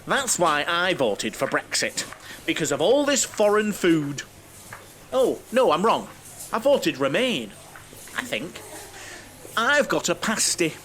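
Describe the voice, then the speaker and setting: A guileless bachelor in a radio comedy, high-pitched and very animated. He rambles and overshares like a lonely mummy's boy, over faint ambient noise. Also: slow pace, long pauses, heavily acted. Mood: chatty, nosy. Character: chatty, naive, lonely middle-aged bachelor, mother-obsessed coach passenger. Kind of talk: audio drama comedy acting.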